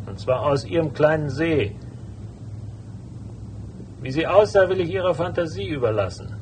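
An actor playing a dry, laconic, world-weary private detective in a radio drama, with a gravelly voice. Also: male voice, on the high side, animated, moderate pace, darkish tone, a little hiss or hum, lightly acted, low-quality recording. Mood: terse, insistent. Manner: laconic, sardonic. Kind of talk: radio drama dialogue and first-person narration.

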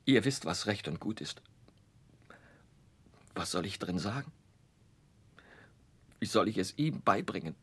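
Humble working man, low and husky, helpless, pleading, deferential, vintage radio play. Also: animated, unhurried, long pauses. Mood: distraught, pleading. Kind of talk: 1960s German radio drama acting.

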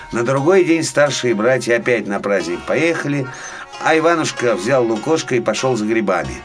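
Very animated male fairy-tale narrator. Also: mid-range voice. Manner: expressive, dramatic storytelling. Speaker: storyteller. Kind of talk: scripted fairy-tale narration for small children. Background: faint music.

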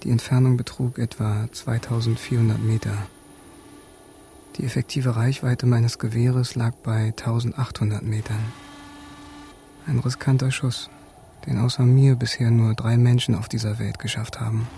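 A man's voice: mid-range voice, flat delivery, moderate pace, vocal fry, warm tone, a little hiss or hum, natural voice. An actor playing a detached army sniper who recounts his kills in a matter-of-fact, clinical way, as radio drama narration. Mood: cold, detached. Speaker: narrator and main character.

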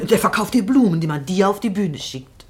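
A woman's voice: medium pitch, expressive, moderate pace, background noise, natural voice. An actress playing a patient, tender woman who soothes and prompts an actor in a German radio drama, speaking without hurry. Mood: prompting.

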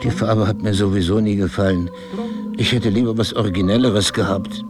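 An actor playing an intense, earnest husband in a radio drama, speaking intimately and deliberately with a husky, gravelly voice. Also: male voice, on the low side, steady tone, over music, natural voice. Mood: wry. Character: confessing, intense husband pleading for his wife's love.

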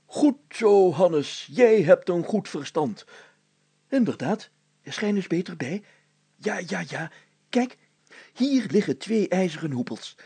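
A man voicing a pompous, fussy, excitable town mayor in a story, exclaiming and stammering when flustered. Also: high voice, takes it slowly, slightly rough, no room sound, full character voice. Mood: excited, approving.

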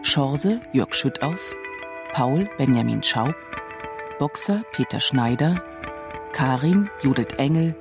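A man announcing the title and credits of a radio play in a scripted, measured read. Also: mid-range voice, animated, creaky, music in the background.

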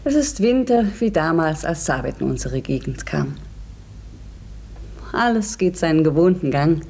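An actress playing a reflective schoolteacher in a German radio drama, speaking in a measured, earnest, wondering way. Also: female voice, low-pitched, animated, mellow. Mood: calm, reflective. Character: reflective female schoolteacher recounting a mysterious encounter. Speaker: narrator and lead actress.

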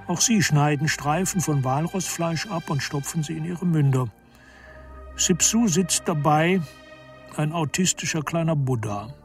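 A man's voice: on the high side, a little slow, faint music behind. A man narrating a radio play, reading scripted literary prose.

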